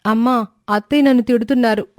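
A woman voicing a touchy, whining young daughter-in-law who tattles, in an animated moral story. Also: fairly high pitch, fast, slight background noise, natural voice. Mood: whiny. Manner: whining, complaining.

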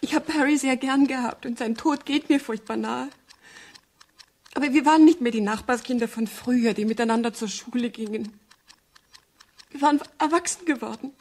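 A woman's voice: sits high, a little mellow. An actress playing a reserved, evasive young woman in a 1970s German radio crime drama, polite and hesitant. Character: reserved, evasive young female client, bereaved yet composed. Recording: faint hiss of 1970s radio production.

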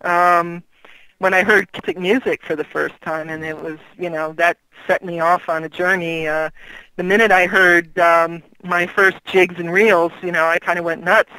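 A woman's voice: lowish voice, sharp tone, clear, resonant tone, no echo, rough recording. A middle-aged woman guesting on an interview show, chatting in a rambling way with fillers and easy laughs. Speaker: guest author. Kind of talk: casual interview chat.

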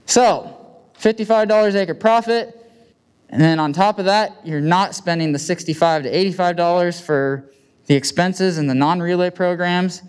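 Male farmer, Midwestern American accent, slightly high voice, smooth clear tone, informal presentation, plain-spoken, handheld mic. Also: normal speed. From United States. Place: indoor meeting room with seated audience. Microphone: handheld microphone.

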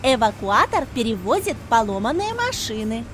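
A woman reads scripted educational narration for children, explaining in short, clearly enunciated phrases. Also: a little high, big pitch swings, moderate pace, a bit bright, slight background noise.

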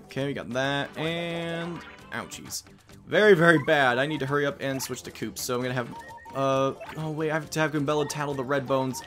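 A man's voice: mid-range voice, a bit bright. Rambling, jokey let's play guy. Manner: rambling, jokey, quick asides. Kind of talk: casual gameplay commentary. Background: game music.

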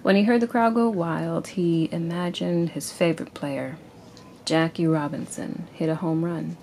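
Mom reading a picture book to her kid, slow and gravelly, bit of home noise. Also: lowish voice, fairly even intonation, dark tone. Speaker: parent reading a children's book aloud to a child. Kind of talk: read-aloud picture book narration. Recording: noisy amateur home recording.

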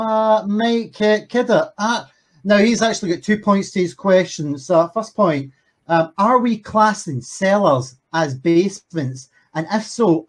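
A man hosts a question session on a video call, his voice sitting fairly high for a man. He reads each audience question slowly, with frequent ums and restarts. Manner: hesitant, filler-heavy, reads questions slowly. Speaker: webinar host reading audience questions. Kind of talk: hosting a webinar Q&A.

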